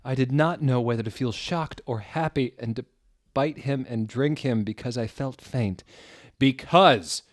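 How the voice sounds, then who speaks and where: A man's voice: medium pitch, moderate pace, no room sound. Theatrical man reading aloud, dry close sound. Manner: theatrical, amused, swings into loud exclamations. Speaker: reader of fan fiction. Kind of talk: mock-dramatic comedic story reading.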